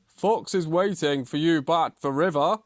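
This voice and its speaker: A woman's voice: sits low, unhurried, no room sound, studio quality. A storybook bear acting as announcer, played by a woman. The words are projected plainly, like an official addressing competitors in a children's tale. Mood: businesslike.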